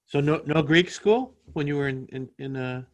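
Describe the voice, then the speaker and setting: Very low-voiced woman interviewer. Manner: halting questions, short backchannels. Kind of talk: conversational interview.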